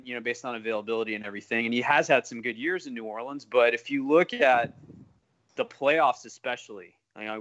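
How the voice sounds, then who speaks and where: Bright, forceful man hosting sports talk. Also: mid-range voice. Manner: halting, long-winded, self-correcting. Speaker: sports podcast host. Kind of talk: conversational sports interview questioning.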